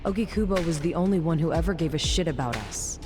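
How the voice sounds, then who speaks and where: A woman's voice: lowish voice, music in the background, close to an everyday voice. Actress as a proud, commanding underworld woman boss. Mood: resolved, earnest. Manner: formal, measured, commanding. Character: proud, resolute female underworld boss giving grave orders. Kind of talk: English video game dub acting.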